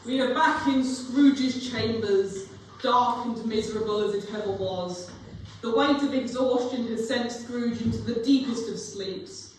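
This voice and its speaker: A woman's voice: a little high, unhurried, a little mellow, natural voice. A teenage girl narrating a scripted story in a live dramatic reading. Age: teens. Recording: very echoey hall, distant mic, faint noise.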